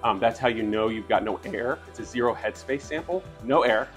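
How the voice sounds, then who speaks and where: A man's voice: mid-range voice, brisk, soft background music. Man explaining plant sampling steps. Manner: relaxed, lots of ums and restarts. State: slightly raspy from a cold. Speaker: wastewater plant operator. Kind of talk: instructional demonstration. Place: outdoors by trees.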